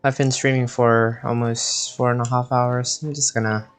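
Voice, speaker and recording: A young man live-streaming a game, casually commenting and reading aloud in a halting, stumbling way. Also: medium pitch, little pitch movement. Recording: game music behind the voice.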